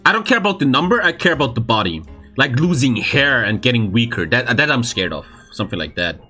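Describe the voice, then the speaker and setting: A man in his twenties hosting a casual podcast, frank and animated, asking lots of questions. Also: mid-range voice, fast talker, husky, soft background music. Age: 20s. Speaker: podcast host and streamer.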